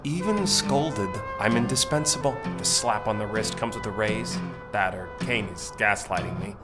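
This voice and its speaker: A man's voice: on the low side, normal speed, over loud music, close to an everyday voice. A man playing a vain, scheming court official bent on revenge in an audio drama, speaking in a haughty, theatrical way. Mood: smug, musing.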